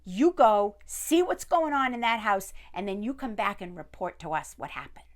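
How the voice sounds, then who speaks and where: A woman's voice: medium pitch, lively intonation, moderate pace, natural voice. A woman voicing a pair of bossy male robbers ordering the youngest one around.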